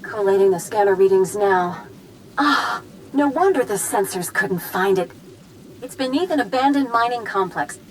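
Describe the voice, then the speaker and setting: A woman's voice: mid-range voice, expressive, normal speed, a little hiss or hum, natural voice, low-quality recording. Woman acting a businesslike sci-fi mission briefer. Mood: focused, supportive. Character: earnest, businesslike female mission briefer in science fiction. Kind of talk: video game cutscene acting.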